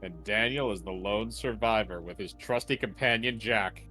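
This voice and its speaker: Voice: medium pitch, moderate pace, bright, forceful tone, soft background music, low-quality recording. A co-reader on a game stream, chatting casually and reacting to the story.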